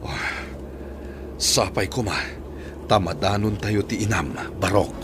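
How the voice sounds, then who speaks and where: A man playing a remorseful older father and employer in an Ilocano radio drama, with a husky voice and emotional delivery. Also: fairly low pitch, unhurried, over loud music, natural voice. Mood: anxious. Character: remorseful older father, employer seeking his lost son.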